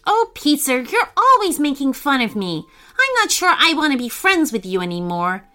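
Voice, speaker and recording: A woman's voice: very high voice, wildly swooping pitch, slow pace, soft background music, voice pushed up, full character voice. A woman voicing a timid, apologetic boy who is easily hurt, in a children's story. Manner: soft-hearted, easily hurt.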